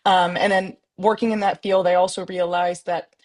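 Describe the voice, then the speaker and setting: A woman's voice: fairly low pitch, level tone, moderate pace, darkish tone. Chatty woman introducing herself. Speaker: guest author. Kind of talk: conversational self-introduction.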